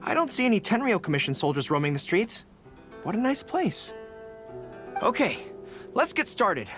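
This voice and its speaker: Woman doing eager young dog-eared general boy from a game, naive n earnest, super lively pitch, music behind. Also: moderate pace, natural voice. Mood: earnest, unsure. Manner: earnest, expressive, hesitant when unsure. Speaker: video game character voice. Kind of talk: scripted game dialogue. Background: background music.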